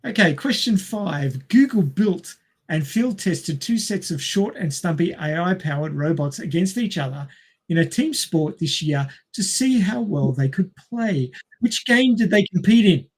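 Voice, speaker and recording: Higher-pitched Australian man, slightly husky. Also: expressive, measured pace. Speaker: podcast host reading quiz questions. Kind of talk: casual group quiz chat.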